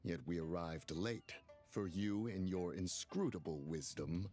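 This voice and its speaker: Low-voiced mythical game creature, formal, dryly reproachful, music behind. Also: male voice, a little mellow. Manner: archaic, measured, sardonic. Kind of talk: video game voice acting.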